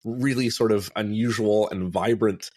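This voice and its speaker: A man's voice: fairly low pitch, steady tone, a little slow, a little mellow, no echo. A middle-aged man from Alberta, a podcast guest chatting casually about travel, with many restarts and fillers. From Alberta, Canada. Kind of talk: casual interview chat.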